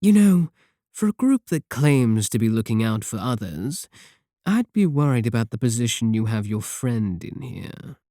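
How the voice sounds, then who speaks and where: Man voicing a sardonic evil-organization villain, mellow dark tone, close dry sound, unhurried, condescending, softly menacing, ASMR roleplay. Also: medium pitch, big pitch swings, no room sound, natural voice. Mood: mocking. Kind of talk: scripted ASMR audio roleplay.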